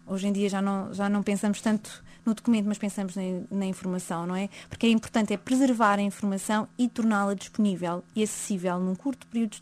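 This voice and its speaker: A woman with a European Portuguese accent, a document management specialist, answering a radio interview thoughtfully, with pauses, fillers and tag questions. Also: medium pitch, darkish tone.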